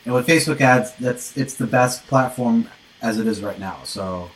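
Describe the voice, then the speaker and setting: An American man hosts an informal interview in a mid-pitched voice. He talks as an instructor would to a student, feeling his way through sentences and rewording them as he goes. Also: moderate pace, rough recording. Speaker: interviewer, online course instructor.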